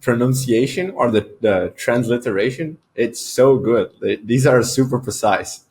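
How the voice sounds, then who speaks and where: A man whose first language is Portuguese, chiming in with brief, encouraging remarks during a software tutorial. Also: medium pitch, measured pace, husky. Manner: brief, affirming interjections. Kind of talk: casual tutorial chat.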